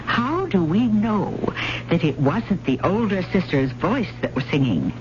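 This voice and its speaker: An actress playing an earnest, hesitant adult student in a radio drama, speaking with careful, storytelling delivery. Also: female voice, fairly low pitch, very animated, measured pace. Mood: probing, deliberate. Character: earnest, hesitant, probing female adult student of old ballads. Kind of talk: 1970s radio drama acting. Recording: muffled, noisy old radio broadcast.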